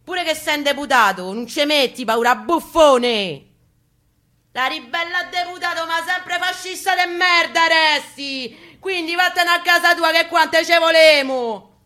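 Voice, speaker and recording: A woman performing a furious, mocking working-class male militant in a story, shouting sarcastically in a Roman accent. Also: very high voice, unhurried, pitched above the performer's own voice, full character voice. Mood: defiant fury.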